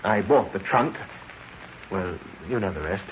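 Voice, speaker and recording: An actor playing a nervous, stammering murder suspect in a vintage radio drama. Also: male voice, low-pitched, animated, measured pace, big-room sound. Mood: confessing. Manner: fretful, stammering, self-justifying. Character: nervous middle-class murder suspect, guilty, indignant. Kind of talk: 1950s radio drama acting. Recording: tinny noisy old radio broadcast.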